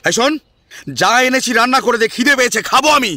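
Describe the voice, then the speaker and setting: A man's voice: extremely high pitch, brisk, sharp tone, pitched above the performer's own voice, full character voice. A man voicing an idle, touchy gambler husband in an audio drama, indignant and demanding. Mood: bossy, demanding. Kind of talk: Bengali audio drama acting.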